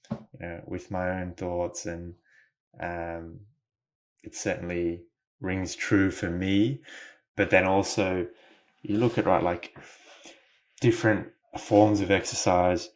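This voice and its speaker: Low, even-toned male podcast host. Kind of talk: casual interview conversation.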